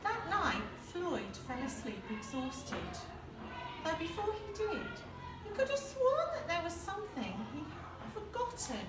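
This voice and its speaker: A woman reading a children's picture book aloud like a schoolteacher, with expressive, deliberate dramatic emphasis. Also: extremely high pitch. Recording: echoey, distant mic, noticeable background noise.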